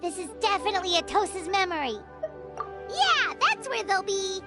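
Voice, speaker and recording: A woman voicing a tiny, excitable childlike female companion in a video game, eagerly explaining and exclaiming. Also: extremely high pitch, normal speed, over loud music, exaggerated voice.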